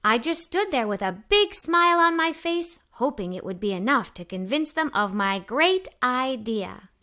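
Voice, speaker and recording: A woman reading a children's story aloud in an expressive, enthusiastic way, as an adventurous young girl telling her own tale. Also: high-pitched, moderate pace. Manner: animated, enthusiastic. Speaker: storybook narrator.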